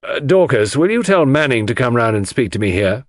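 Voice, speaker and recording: A man voices a polite gentleman of the house in an audiobook mystery. He is anxious and easily bewildered, with animated swings in pitch and a dry, close sound. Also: fairly quick, no room sound, close to an everyday voice. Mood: instructing.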